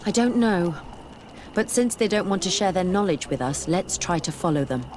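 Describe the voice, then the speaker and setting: A woman's voice: fairly low pitch, expressive, moderate pace, background noise, close to an everyday voice. A woman acting a curious, determined, skeptical scholar in a video game, speaking thoughtfully and articulately.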